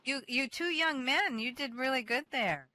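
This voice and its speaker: A woman leading a reading session, brisk and encouraging. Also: sits high, bright, forceful tone. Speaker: session leader. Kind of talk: casual chat. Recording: noisy recording.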